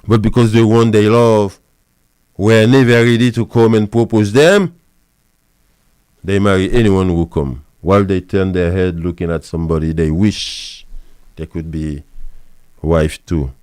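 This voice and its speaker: A man's voice: low voice, a little slow, long pauses, darkish tone, smooth, dry recording. A man lecturing women on marriage as a pastor and counselor, repeating his points with emphasis. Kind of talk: preachy advice monologue.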